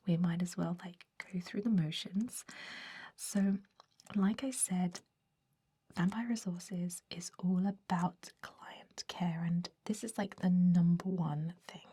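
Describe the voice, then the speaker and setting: A woman's voice: fairly low pitch, warm tone, slightly rough, full character voice. A woman playing a centuries-old, fussy vampire recruiter in an ASMR roleplay, whispering with a hesitant, politely dismissive manner.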